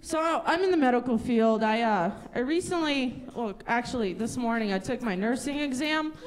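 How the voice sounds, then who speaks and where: A woman's voice: on the high side, lively intonation, moderate pace. A woman performing a chatty, self-mocking stand-up comedy set on a stage in front of a live audience. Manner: chatty, self-mocking, loud character bits. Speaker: stand-up comic. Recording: audience noise in a live venue. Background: audience laughter.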